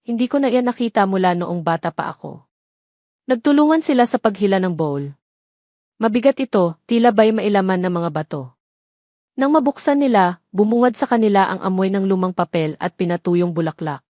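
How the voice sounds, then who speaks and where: A woman's voice: medium pitch, lively intonation, moderate pace, dark tone, no echo. Woman narrating a dramatic story, dry sound.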